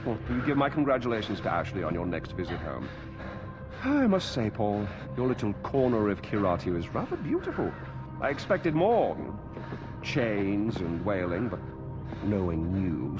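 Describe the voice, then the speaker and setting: A man's voice: deep voice, sing-song, moderate pace, music in the background. A man playing a flamboyant, middle-aged tyrant in a video game cutscene, theatrically polite, mocking and volatile. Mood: amused, menacing. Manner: theatrical, affected politeness breaking into swearing outbursts.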